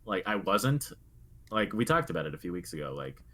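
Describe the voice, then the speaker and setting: A man's voice: low voice, sing-song, brisk, sharp tone. A young adult man co-hosting a podcast, talking casually about video games in an earnest, reflective way. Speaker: podcast co-host. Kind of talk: casual video game discussion.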